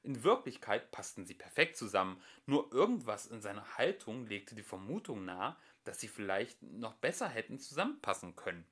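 A young man reading a comic science-fiction audiobook aloud at home, expressive and dry in his timing. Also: medium pitch. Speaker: amateur audiobook reader. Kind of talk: audiobook narration. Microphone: home microphone.

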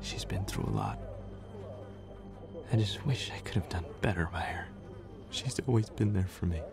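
An actor playing a broken, self-loathing wounded husband in a video game drama, halting and anguished. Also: male voice, sits low, huge pitch swings, vocal fry, exaggerated voice. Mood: bitter, defeated. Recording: game audio with music underneath.

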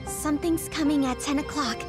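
A woman plays a caring teenage girl soldier in an anime game. Her voice is high and earnest, with a watchful concern, over loud music. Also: steady tone, fairly quick, natural voice. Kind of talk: English-dubbed anime game acting.

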